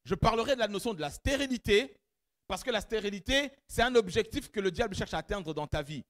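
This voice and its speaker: A middle-aged man preaching a church sermon whose first language is not French, speaking animatedly and rising to loud emphatic peaks as he addresses the congregation. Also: high-pitched, animated, brisk. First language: not French; has another mother tongue. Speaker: pastor.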